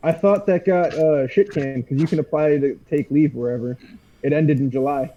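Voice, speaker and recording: A man's voice: a little high, moderate pace, mellow, muffled recording. A man who is a Navy medic, chatting wryly and grumbling with friends before a tabletop game. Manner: wry, grumbling banter.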